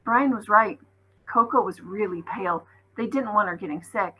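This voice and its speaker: A woman's voice: medium pitch, normal speed, pushed and bright, slight background noise, low-quality recording. A woman, a teacher reading aloud, narrating a children's story expressively.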